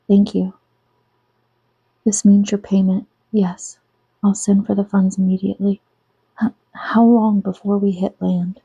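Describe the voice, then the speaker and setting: Soft-spoken woman reading, dark and husky. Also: medium pitch, little pitch movement, no echo. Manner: soft, slow, intimate, drifting into whispers. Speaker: author reading her own novel. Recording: dull lo-fi sound, close and dry.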